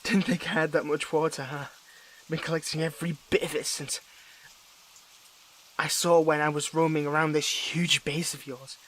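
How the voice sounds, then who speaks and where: Male actor as wounded young hero, slightly high voice, halting and emotional, bitterly defiant, audio drama, faint crackly noise. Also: flat delivery, lightly acted. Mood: defiant, taunting. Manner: halting, emotional, with stammered restarts. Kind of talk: dramatic audio roleplay monologue.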